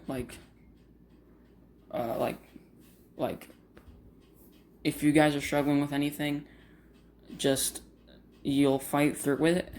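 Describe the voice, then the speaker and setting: A man vlogging while grieving, his voice flat and monotone, barely moving up or down. He talks slowly and haltingly, with lots of fillers and restarts. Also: medium pitch. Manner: monotone, uninteresting voice. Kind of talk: casual talk to camera.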